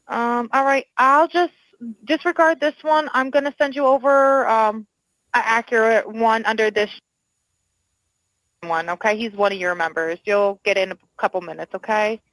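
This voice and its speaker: A woman with a fairly high voice works as a dispatcher on a recorded call. She talks in a casual, businesslike way, with a dry sound. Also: fairly even intonation, normal speed, a bit bright, dry recording, rough recording. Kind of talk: casual professional phone dispatch.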